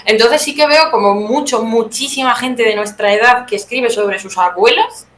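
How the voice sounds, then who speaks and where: A woman's voice: on the high side, moderate pace. A young woman from Teruel with an accent from Spain, answering interview questions conversationally as a guest playwright. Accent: Spanish from Spain. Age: young adult. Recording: roomy echo, clear background noise.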